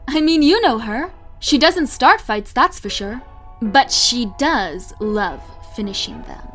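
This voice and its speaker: Woman acting gushing high-pitched glamorous flirt. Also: big pitch swings, a bit bright, exaggerated voice. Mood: amused, admiring. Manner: effusive, teasing, drawn-out flourishes. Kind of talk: audio drama acting. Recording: music under the voice.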